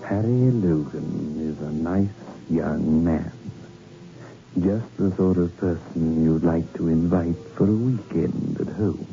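An actor playing a macabre, slyly welcoming horror host, sinister and drily mocking, in 1960s radio style. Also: male voice, deep voice, slow pace, creaky, mellow, soft background music, exaggerated voice. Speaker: horror show host and narrator. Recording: tinny old radio recording.

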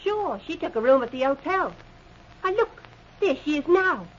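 An actress playing a friendly, frank young waitress with an informal manner in a radio drama. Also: female voice, high voice, very animated, moderate pace. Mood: friendly, matter-of-fact. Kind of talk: 1940s radio drama acting. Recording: dull vintage radio recording.

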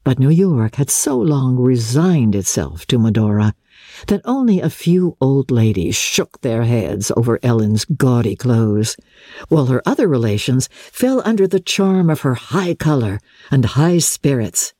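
A woman narrating classic literature as a dramatic reading, with expressive, measured storytelling. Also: very deep voice, no echo, studio quality. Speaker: audiobook narrator.

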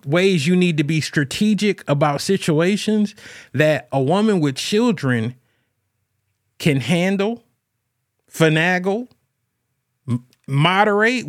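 A man's voice: on the high side, no room sound, clean studio sound. A man hosting a show alone and talking casually about relationships, rambling with lots of fillers and restarts. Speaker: podcast host. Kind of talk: casual solo talk on relationships.

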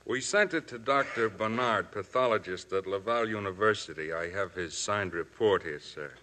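A man's voice: fairly low pitch, moderate pace, sharp tone. An actor playing a matter-of-fact male police inspector giving a formal report in a 1950s radio drama.

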